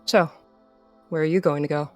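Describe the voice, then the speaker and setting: A woman's voice: deep voice, steady tone, moderate pace, darkish tone, faint music behind, natural voice. A woman voicing a resigned, regretful older man from a visual novel, firm but sorry. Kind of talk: visual novel character reading.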